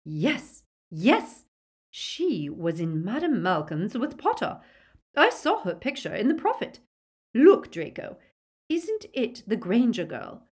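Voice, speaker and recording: A woman voicing a cold, cautious, sharp aristocratic mother in an audiobook, with a clear voice. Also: mid-range voice, very animated, slow pace, voice pushed up, heavily acted. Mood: sharp, certain. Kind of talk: audiobook character acting.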